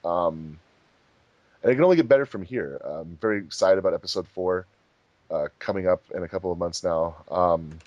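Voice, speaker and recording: Man, low voice, dry close recording, casual host, wry teasing humour. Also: a little fast, dry recording, dull audio. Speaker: host of a fan dub commentary track. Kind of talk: casual group commentary chat.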